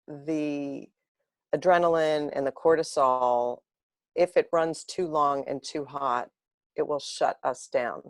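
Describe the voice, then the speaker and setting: A woman's voice: low-pitched, no room sound, studio quality. A woman guest speaking earnestly and reflectively, building long flowing thoughts in conversation. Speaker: interview guest.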